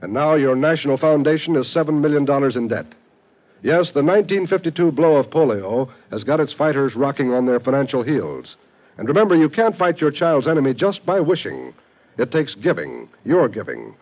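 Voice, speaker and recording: A man's voice: mid-range voice, big pitch swings, a little fast, darkish tone, lo-fi sound. A man with an American accent announcing in scripted 1950s radio style, earnest and declamatory.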